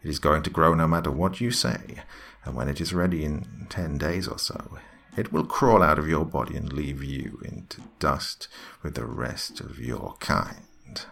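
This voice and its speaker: A man voicing an evil alien demon queen in a horror story, with a raspy, slithering, mock-tender and menacing delivery. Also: extremely low pitch, a little slow, a bit bright, heavily acted.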